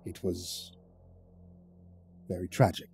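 A man voicing a quiet, grave male caretaker who speaks in a low hush with careful, formal phrasing. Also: steady tone, creaky, faint music behind, heavily acted. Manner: hushed, halting, formal phrasing.

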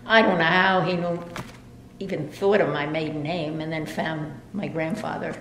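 An older woman from New York, an interviewee, remembering her past in an unhurried way with many hesitations. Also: low-pitched, fairly even intonation, noisy background. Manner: unhurried, with frequent hesitations and restarts. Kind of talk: casual reminiscing interview. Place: indoor library room with bookshelves. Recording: roomy echo, music underneath.

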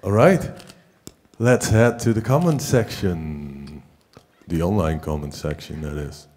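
A young man hosting a talk night on stage with a handheld mic, friendly and halting, filling his introductions with "uh". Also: deep voice, expressive, darkish tone. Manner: friendly, halting, with many fillers. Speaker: host. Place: stage in a theater hall.

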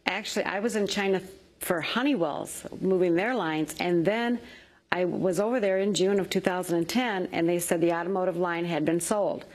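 A woman's voice: lowish voice, moderate pace, a little mellow. A woman in her fifties, a factory worker, answering interview questions in a plain-spoken, anxious way, on a lapel mic in a studio. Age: 50s. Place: television studio.

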